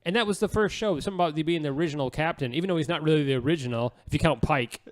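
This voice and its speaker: A man's voice: fairly high pitch, very fast. An American man co-hosting a podcast, chatting casually in an informal, slangy, joking way. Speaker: podcast co-host. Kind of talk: casual, joking panel chat.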